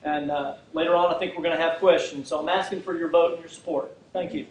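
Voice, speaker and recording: A man's voice: fairly high pitch, steady tone, fast talker. A man giving a folksy campaign speech with lots of "uh" fillers and restarts, speaking in a community hall without a microphone. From Fort Worth, Texas. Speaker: political candidate. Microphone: no microphone, recorded from far off. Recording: echoey hall from far off, faint hiss.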